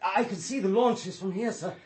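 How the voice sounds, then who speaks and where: Agitated, raspy, high-voiced servant. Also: male voice, moderate pace, a little mellow. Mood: shocked. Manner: deferential, agitated. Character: agitated, obedient male British household servant. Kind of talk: radio drama acting.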